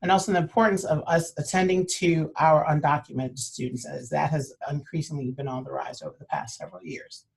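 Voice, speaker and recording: A woman giving a webinar presentation as a university administrator, earnest and measured, with a cold and frequent fillers. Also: deep voice, steady tone, creaky, low-quality recording. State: has a cold.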